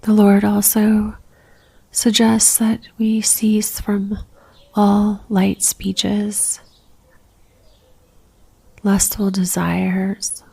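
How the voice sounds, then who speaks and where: A woman leading a guided religious meditation in a soft, near-whispered voice, gentle and calm, leaving long pauses. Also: medium pitch, vocal fry, slightly rough.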